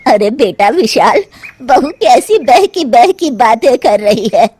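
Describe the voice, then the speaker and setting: Woman acting exasperated, high-pitched cartoon mother-in-law. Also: sing-song, slow, darkish tone, slight background noise. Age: older. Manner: scolding, complaining, theatrical. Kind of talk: Hindi cartoon moral story acting.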